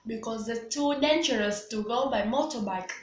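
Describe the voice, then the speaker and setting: A woman's voice: a little high, moderate pace, reverberant, a little hiss or hum. A woman in her twenties with a Vietnamese accent, practising scripted English dialogue as a student, hesitant with many fillers and restarts. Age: 20s.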